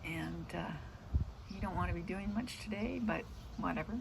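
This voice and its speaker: A woman's voice: fairly low pitch, normal speed, creaky, heavy background noise. A middle-aged woman in casual, rambling chat about her day, drifting off into asides. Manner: meandering, trailing off into asides. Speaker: vlogger.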